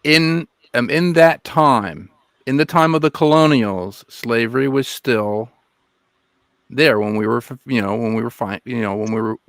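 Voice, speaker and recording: A man from the United States co-hosting a podcast, talking hesitantly with many pauses and restarts. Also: mid-range voice, fairly bright tone, dry recording. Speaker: podcast co-host. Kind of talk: casual podcast discussion.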